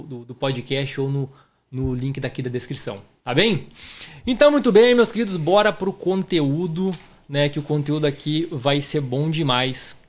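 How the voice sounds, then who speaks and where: A man with a southern Brazilian gaúcho accent, hosting a podcast in an effusive, informal way and speaking affectionately to listeners. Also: a little high. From southern Brazil (Rio Grande do Sul). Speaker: podcast host, entrepreneur.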